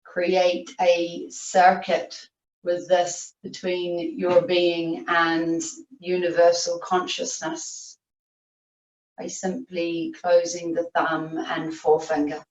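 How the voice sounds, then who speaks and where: Slow, even-toned woman guiding meditation. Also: on the low side. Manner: soothing, unhurried instruction with long pauses. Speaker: yoga nidra teacher. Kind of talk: guided meditation. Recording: roomy, distant, muffled video-call audio.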